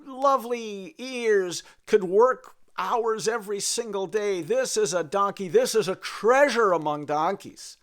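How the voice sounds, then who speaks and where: A man putting on a booming showy auctioneer. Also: measured pace, few pauses, a bit bright. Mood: hearty salesmanship. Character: loud, showy male auctioneer praising his wares.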